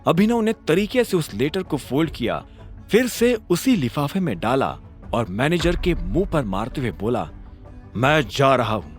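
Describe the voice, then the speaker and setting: A man giving dramatic, expressive scripted narration for an audio story. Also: a little high, moderate pace, faint music behind.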